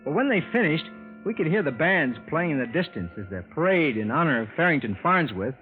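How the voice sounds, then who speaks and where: A man's voice: on the high side, sing-song, brisk. A man acting in a 1940s radio drama as an ordinary good-natured husband, telling his strange tale in a folksy, hesitant, conversational way. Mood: folksy recounting. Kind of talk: 1940s radio acting, first-person narration. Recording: tinny vintage radio broadcast with music.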